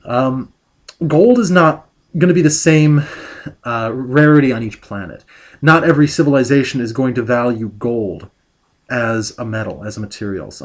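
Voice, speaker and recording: Male book reviewer, mid-range voice, exasperated and ranting, halting delivery with lots of restarts, home desk mic. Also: mid-range voice. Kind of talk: critical book review rant.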